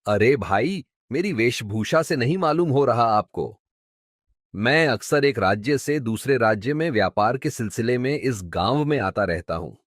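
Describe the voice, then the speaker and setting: Man as a cheeky, animated haggling merchant. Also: medium pitch, a little slow, dry recording, studio quality. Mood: sarcastic. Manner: mocking, exaggerated comic bargaining. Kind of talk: Hindi cartoon story dubbing.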